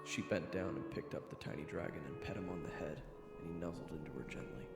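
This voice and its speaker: A man's voice: low voice, fast talker. A man playing a sardonic young adventurer, narrating a fantasy audio drama in the first person with wry, conversational expression. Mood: descriptive. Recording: echoey reverb, loud game music underneath.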